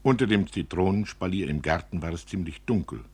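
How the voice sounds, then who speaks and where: A man narrating a literary story for radio in an expressive way. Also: low-pitched, brisk, slightly rough.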